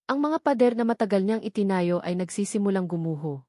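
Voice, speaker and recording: A woman's voice: mid-range voice, animated, a little fast, mellow, dry recording, clean studio sound. A woman reading a sentimental story as dramatic scripted narration.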